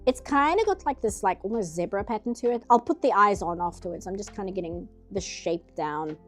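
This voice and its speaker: A woman's voice: mid-range voice, lively intonation, fairly quick, faint music behind. A nasal-sounding woman streaming herself painting, chatting casually in rambling asides. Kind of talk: casual chat while drawing.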